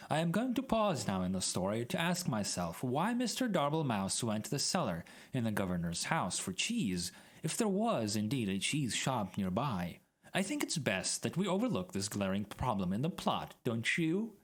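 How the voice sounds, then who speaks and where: A man's voice: medium pitch, huge pitch swings, moderate pace, husky. A man giving a dramatic, mock-serious reading of a comic story, addressing the listener directly. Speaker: storyteller, streamer reading aloud. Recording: music added behind the voice. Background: music and sound effects.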